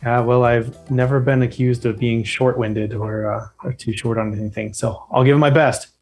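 Male guest, medium pitch, talkative and easygoing, explains with light humor. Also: steady tone, normal speed, a little mellow, soft background music. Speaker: guest expert on loans. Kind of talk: casual podcast chat.

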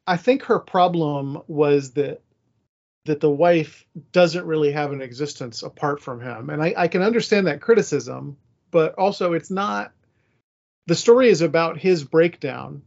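A man's voice: a little high, measured pace, gravelly, darkish tone. A man hosting a podcast in casual, conversational discussion, plain-spoken, recorded on slightly dull, lo-fi audio. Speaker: podcast host. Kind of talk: casual chat about a radio drama.

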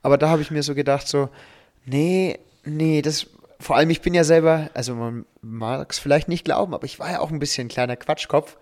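A man chats casually as a podcast co-host, telling anecdotes in a joking, animated way. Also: fairly high pitch, brisk. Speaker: podcast co-host, school teacher.

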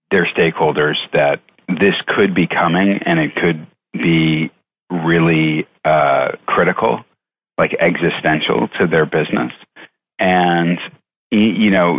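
A man with an extremely deep voice gives conversational answers as an executive guest. He moves in measured, halting phrases, circling back to repeat words. The sound is thin and phone-like, with little low or high detail. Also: no echo. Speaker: chief executive, interview guest. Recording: tinny phone-like line.

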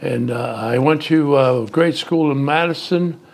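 A man in his nineties, a native Italian speaker, recalls his childhood in a halting, measured way while being interviewed at home. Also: on the high side. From Youngstown, Ohio. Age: 90s. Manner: halting, searching for words, measured. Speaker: interview guest.

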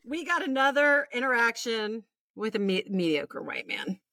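A lively American woman with a bright, forward voice, recorded close and dry. She co-hosts a casual podcast, reading stories with animation and dropping in irreverent, sweary asides. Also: on the high side, moderate pace, no room sound. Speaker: podcast co-host.

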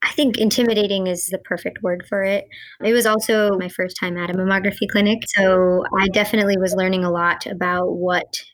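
Young American woman, medium pitch. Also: fairly even intonation, fairly quick, low-quality recording. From North Carolina, USA. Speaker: podcast guest, student and interpreter. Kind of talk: conversational interview, personal account.

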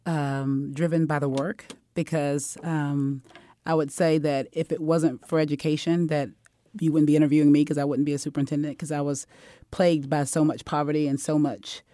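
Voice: mid-range voice, little pitch movement, moderate pace, mellow, no room sound, clean studio sound. A superintendent speaking earnestly and with focus in a panel discussion. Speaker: school superintendent.

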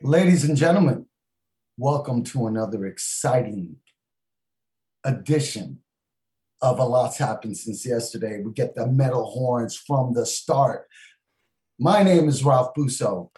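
A man's voice: medium pitch, lively intonation, a little slow, pauses often, warm tone. A man hosting a podcast, talking loosely and enthusiastically, with stammering restarts. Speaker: podcast host. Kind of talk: casual podcast chat. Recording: roomy echo.

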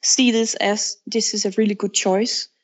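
A woman's voice: medium pitch, flat delivery, normal speed. A woman from Denmark with a Danish accent, speaking English as her second language, frankly answering questions as a guest author in a remote podcast interview. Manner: frank, candid, plainspoken.

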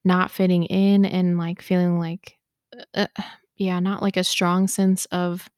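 A young woman from Ethiopia co-hosting a casual podcast, speaking haltingly with lots of fillers while she searches for words. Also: lowish voice, flat delivery, darkish tone, no room sound, clean studio sound. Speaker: podcast co-host. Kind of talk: casual conversation.

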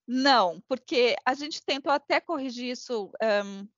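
A woman's voice: a little high, unhurried, a bit bright, no echo. A woman with a foreign accent in her Portuguese, explaining law informally and searching for words. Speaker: podcast panelist explaining law. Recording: dull lo-fi, dry close sound.

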